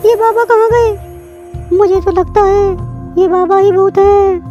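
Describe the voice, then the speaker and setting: A very high-pitched, frightened young girl from a horror story. The pitch stays up in a narrow band as the voice frets and pleads. Faint music plays underneath. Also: steady tone, a little slow, warm tone. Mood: scared, uneasy. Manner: panicky, pleading.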